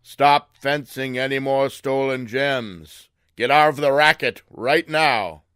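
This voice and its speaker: A man playing a forceful, theatrical vigilante sleuth. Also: medium pitch, clear, resonant tone. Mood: stern. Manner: theatrical, deliberate, commanding. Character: stern, self-assured male vigilante super sleuth. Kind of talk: radio mystery acting.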